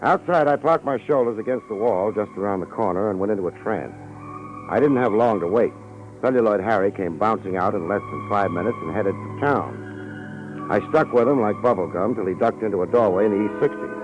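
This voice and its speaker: An actor playing a cool, wisecracking hardboiled private detective, wry and laconic, in a 1950s radio drama. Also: male voice, on the low side, fairly quick, over music, natural voice. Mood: wry storytelling. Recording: muffled old radio recording.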